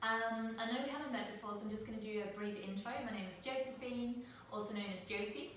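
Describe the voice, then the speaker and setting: A middle-aged woman from Denmark leading a guided yoga class over a live video call, speaking in a soft, soothing way with slow, drawn-out cues. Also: fairly high pitch. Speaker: yoga teacher. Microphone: laptop mic at a distance. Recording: very echoey room, distant mic, faint noise.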